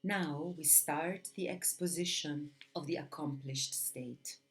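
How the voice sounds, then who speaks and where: Low-pitched woman reading a yoga lesson. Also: takes it slowly, soft background music. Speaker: yoga teacher reading a text commentary. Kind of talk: read-aloud spiritual lesson with Sanskrit chanting.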